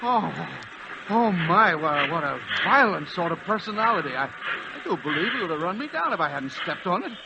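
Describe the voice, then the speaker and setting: A man playing a timid, excitable, polite fellow who is flustered and stammers, in 1940s radio acting style. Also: high voice, brisk, creaky, heavily acted. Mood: flustered, apologetic. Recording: dull, noisy vintage radio recording.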